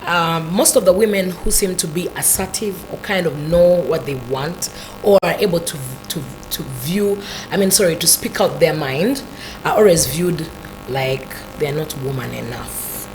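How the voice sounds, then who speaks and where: A Ugandan woman with a deep voice, a talk show guest explaining her ideas thoughtfully with many "you know" asides. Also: moderate pace, over music, low-quality recording.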